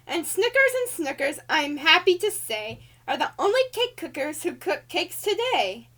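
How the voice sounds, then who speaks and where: A woman's voice: very high-pitched, a bit bright, full character voice. A woman voicing a female cartoon angel who reads a rhyming storybook to children in a showy, sing-song way with exaggerated emphasis. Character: Alice Angel, female cartoon angel reading a bouncy birthday storybook.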